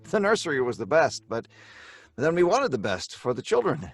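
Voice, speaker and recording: A man playing a troubled middle-aged husband and father in a live radio play, talking in a halting, uneasy way. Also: medium pitch, wildly swooping pitch. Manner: halting, uneasy, with nervous laughs.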